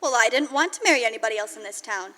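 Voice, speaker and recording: An actress playing a loving, wry, gently teasing young wife in a 1940s-style radio drama, recorded live in a church. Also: female voice, a little high, animated, very fast. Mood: tender, teasing. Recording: live church hall, long reverb.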